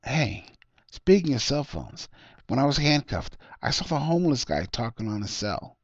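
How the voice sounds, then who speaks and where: Anxious busted husband done by a guy reading a story, pleading, swoopy and excitable, kinda muffled audio. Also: medium pitch, normal speed, dry recording, pitched above the performer's own voice. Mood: urgent suspicion.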